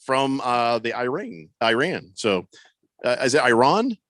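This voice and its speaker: Bright-voiced man hosting sports radio. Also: medium pitch, sing-song, measured pace, dry recording. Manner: storytelling, reads listener comments aloud. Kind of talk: casual talk show banter.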